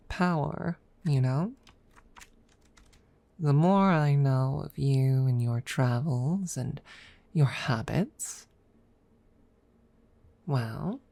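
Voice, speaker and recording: A woman playing a proud, teasing dragon rival in a soft, measured ASMR audio roleplay, at times dropping toward a whisper. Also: deep voice, vocal fry, full character voice. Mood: smug, teasing. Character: proud, teasing dragon rival hiding affection, hoarder of treasure.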